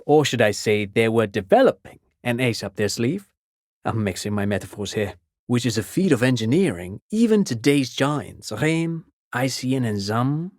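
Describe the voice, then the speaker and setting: A man plays a genial, bookish father in a video game. He is polite and eager, explaining everything at length in a mid-pitched voice. The sound is dry and close. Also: darkish tone, dry recording, natural voice. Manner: erudite, rambling, over-explaining, genial. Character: chatty, pedantic intellectual father, eager and polite. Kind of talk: video game character dialogue.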